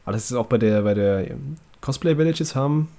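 A young man hosts a laid-back podcast while fighting off a cold, so his nose sounds stuffed up. His voice is dark and mellow, at an ordinary pitch for a man. He talks easily and restarts his sentences now and then. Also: a little fast. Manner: relaxed, conversational, with fillers and restarts. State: congested from a cold. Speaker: podcast host. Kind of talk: casual podcast chat.

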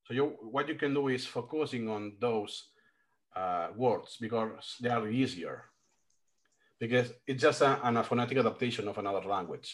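A man's voice: medium pitch, animated, normal speed. A man in a casual call, explaining a language-learning idea step by step with frequent restarts. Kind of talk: casual video call chat.

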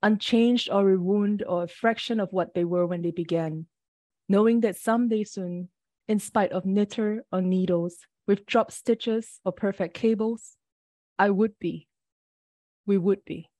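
Woman poet, warm dry close voice, measured unhurried reading, a bit early-morning rough. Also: medium pitch, dry recording. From Singapore. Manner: measured, deliberate reading with brief asides. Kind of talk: poetry reading.

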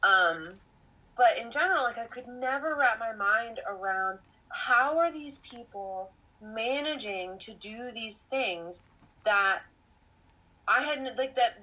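A woman's voice: a little high, animated, unhurried, sharp tone. Podcast host, woman, late twenties, rambly confessional chat on a slightly dull lo-fi track. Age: 20s. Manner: rambling, self-reflective, laughs often. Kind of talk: casual conversational storytelling.

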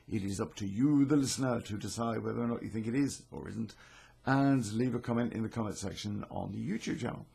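A British man with a hoarse, croaky voice, hosting a podcast in a rambling, self-deprecating, sweary way. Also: lowish voice, brisk, mellow. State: hoarse from illness. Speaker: podcast host and storyteller. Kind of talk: casual chatty intro to a podcast.

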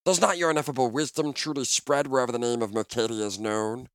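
A man's voice: mid-range voice, steady tone, quick pace, fairly bright tone, dry recording, clean studio sound, pitched above the performer's own voice, natural voice. A man voicing a sly, mocking little goblin with oily, fawning, questioning speech in a fantasy audiobook. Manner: obsequious flowery speech, all in questions.

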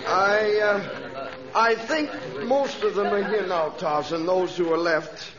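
An actor playing a gentle, devout missionary reverend with a solemn sermon delivery in 1930s radio drama style. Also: male voice, high-pitched, lively intonation, slow, crowd noise. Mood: weak, dazed. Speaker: missionary preacher. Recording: dull old radio transcription.

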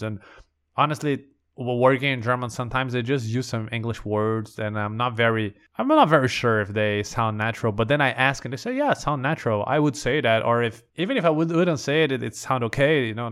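A man's voice: mid-range voice, a bit bright. Male podcast host, Brazilian Portuguese accent, casual and conversational, thinks aloud. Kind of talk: casual language-learning podcast monologue.

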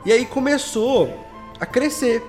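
A man's voice: very high voice, expressive, slow, darkish tone. A man from Belo Horizonte with a Minas Gerais Brazilian accent, chatting casually as a podcast guest and telling anecdotes in slangy speech. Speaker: guest, audio drama podcast creator. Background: background music.

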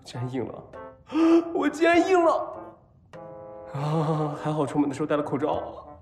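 A man playing a flustered, lovestruck young patient in an audio drama, hesitant and stammering, given to melodramatic excitement. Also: on the high side, moderate pace. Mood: panicked, melodramatic. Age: young adult. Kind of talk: audio drama acting with inner monologue. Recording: roomy echo, music behind.

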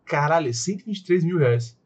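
Casual co-host: Brazilian man, husky, somewhat high, lively retelling. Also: a little fast. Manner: animated, quotes film lines in put-on voices. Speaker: podcast co-host. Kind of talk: casual film review chat.

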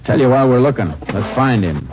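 An actor playing a calm, skeptical lawman with a terse, authoritative manner in a 1950s radio drama. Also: medium pitch, quick pace, lightly acted. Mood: businesslike. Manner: terse, matter-of-fact. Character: calm, skeptical, authoritative Texas Ranger investigator. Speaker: lead character and story narrator. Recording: dull, hissy old radio recording.

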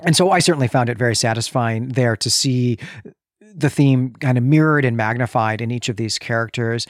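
A man's voice: mid-range voice, dry recording. Thoughtful male podcast co-host, dry close sound. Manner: thoughtful, articulate, literary, analytical. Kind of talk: conversational film discussion.

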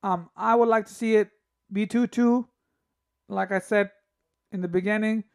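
A man hosting a solo sports podcast, talking casually and giving opinions, his voice raspy from a cough. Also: sits high, fairly even intonation, moderate pace. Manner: casual, opinionated, thinking aloud with fillers. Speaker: podcast host.

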